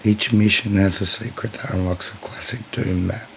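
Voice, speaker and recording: A hoarse man who has lost his voice, commenting on a video game and reading its text aloud. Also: normal speed, a little hiss or hum. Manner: low, flat, gravelly mutter. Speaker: let's play gamer. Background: game music.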